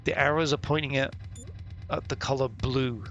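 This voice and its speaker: Gravelly-voiced male streamer, swearing. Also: mid-range voice, steady tone, moderate pace, fairly bright tone, muffled recording. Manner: swears and mutters while working through a puzzle. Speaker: game streamer. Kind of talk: live gameplay commentary. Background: faint game music.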